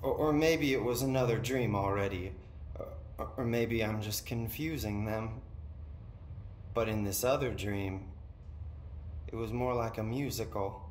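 An actor playing an eerie, unhinged possessed brother in a video game, recounting his dreams in a dreamy, deliberate way. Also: on the low side, a little mellow, close to an everyday voice. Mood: wistful, detached. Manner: dreamy, deliberate, unsettling storytelling. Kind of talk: video game dramatic monologue. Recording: roomy echo, faint background noise.

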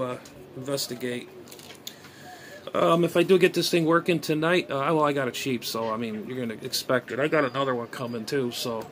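A man in his forties giving casual unscripted gadget commentary as a hobbyist vlogger, hesitant and thinking aloud in a muttering way. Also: mid-range voice. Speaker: amateur radio hobbyist vlogger. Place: small bedroom, indoors. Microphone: phone at arm's length. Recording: noisy phone recording with room sound.

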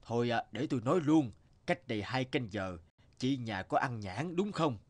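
A man voicing a wise, stern old village herbal healer in an animated folk tale, authoritative and instructive. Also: medium pitch, moderate pace, close to an everyday voice. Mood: stern concern. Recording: faint music behind the voice.

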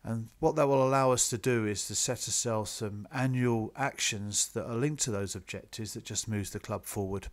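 A man presenting club business to members in a measured, businesslike, explanatory way, partly from a script. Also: fairly low pitch. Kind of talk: slide presentation, semi-scripted explanatory talk.

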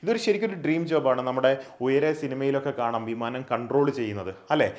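A man's voice: mid-range voice, fast. A young man runs through an exam prep lesson with a mid-range voice. He sounds persuasive and keeps tossing in rhetorical questions and tag questions. His voice bounces around an echoey room. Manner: persuasive, asks questions and answers them himself, many rhetorical tag questions. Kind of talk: explainer lesson on an exam, mixing English terms.

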